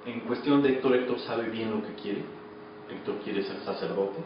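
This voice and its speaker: A man's voice: on the low side, moderate pace. A man in his thirties with a Mexican accent, an actor answering casually in an interview. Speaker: radio drama actor being interviewed. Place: small office. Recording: echoey, distant, muffled, noisy camera audio.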